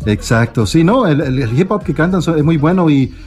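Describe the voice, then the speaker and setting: Eager male radio host, bit high-pitched, halting delivery with fillers. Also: expressive, darkish tone, faint music behind. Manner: enthusiastic, hesitant, many fillers. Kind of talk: radio interview.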